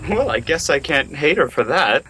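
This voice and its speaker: An easygoing, teasing grown man, played by an actor in an amateur radio drama. His voice is bright and lively, moving widely in pitch with a breezy manner. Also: moderate pace, faint music behind, low-quality recording. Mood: breezy, playful. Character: easygoing, playful adult male writer, teasing guardian. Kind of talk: amateur anime-style radio drama acting.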